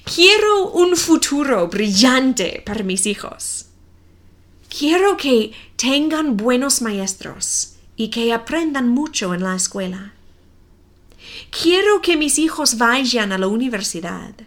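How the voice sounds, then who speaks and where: A woman in her forties with a non-native accent, reading a simple story slowly and carefully for language learners. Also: a little high, very animated. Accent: non-native Spanish accent. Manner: careful, deliberate reading with clear pauses. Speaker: Spanish teacher.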